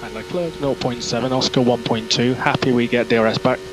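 A male race engineer speaks over a clearly noisy team radio link with vehicle sound. His mid-pitched delivery is measured and clipped, giving short technical instructions and repeating key calls. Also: steady tone. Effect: team radio transmission.

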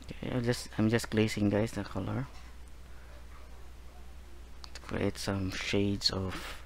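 A man teaching acrylic painting as he works, talking slowly and calmly, often saying "guys" and "there". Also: on the low side, creaky, slight background noise. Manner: slow, relaxed, repeats "guys" and "there", gentle reminders. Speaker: painting instructor. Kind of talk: real-time painting tutorial narration.

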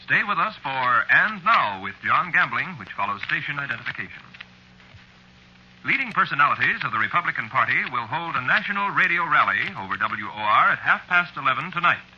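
A male 1940s radio announcer reads scripted notices in a very sharp, forceful voice with lively intonation. The vintage broadcast sounds muffled. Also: mid-range voice, brisk, a little hiss or hum.

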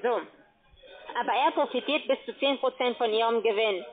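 A woman with a fairly high voice makes a sales pitch over a tinny phone line. She talks as a call-centre account manager. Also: moderate pace, faint music behind.

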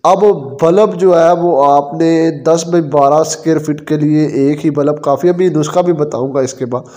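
Mellow-voiced man explaining poultry care. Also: on the high side, fairly even intonation, normal speed. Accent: Pakistani Urdu. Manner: explanatory, step-by-step with frequent fillers. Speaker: poultry advice presenter. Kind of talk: instructional voice-over.

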